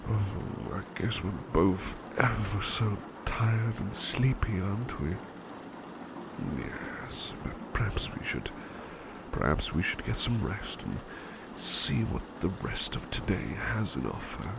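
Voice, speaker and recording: Man playing a low, creaky, gentle plush-toy servant. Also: animated, slow, noisy background, full character voice. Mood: sleepy, soothing. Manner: soft-spoken, reassuring, politely formal, hesitant. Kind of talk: soothing ASMR roleplay.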